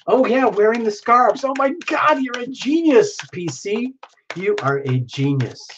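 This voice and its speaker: A man's voice: very high-pitched, lively intonation, a little slow, fairly bright tone, highs cut off, slight background noise. Exuberant man giving a live tarot reading. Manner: exuberant, chatty, addresses viewer by name. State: losing his voice. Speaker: tarot reader hosting a live stream.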